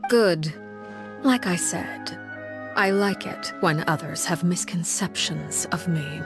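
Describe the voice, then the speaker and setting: A cold, composed game villainess with a lowish voice, speaking slowly and formally, each line carrying a quiet threat. Faint game music plays under her lines. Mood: cool. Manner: measured, formal, quietly menacing. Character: cold, composed woman who leads a household of orphans. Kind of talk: game cutscene voice acting.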